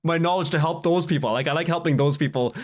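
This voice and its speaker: A man speaking as a podcast guest in casual interview chat, informal and halting as he thinks aloud. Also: fairly high pitch.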